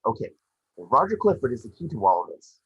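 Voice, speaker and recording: A man voicing a small, wisecracking, excitable detective creature at a script table read. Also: mid-range voice, fast talker, husky, no room sound, muffled recording. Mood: wisecracking, brisk.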